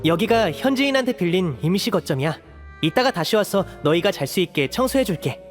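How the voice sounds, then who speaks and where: Boyish game magician: high youthful voice, polite and welcoming, faint music behind. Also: male voice, lively intonation, a little fast. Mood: friendly, welcoming. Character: polite, friendly, boyish young male stage magician. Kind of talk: Korean video game voice acting.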